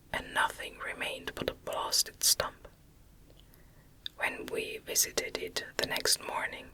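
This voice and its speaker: A woman whispering a classic Gothic novel as an ASMR audiobook, hushed and measured, close to the microphone. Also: rough recording. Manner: hushed, measured, unvoiced delivery. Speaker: audiobook reader.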